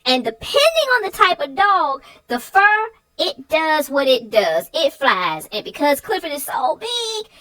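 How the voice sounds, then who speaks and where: A woman's voice: high-pitched, sing-song, unhurried, hardly any pauses, pushed and bright, rough recording. A woman co-hosting a children's read-aloud show, chatting about a story with young viewers.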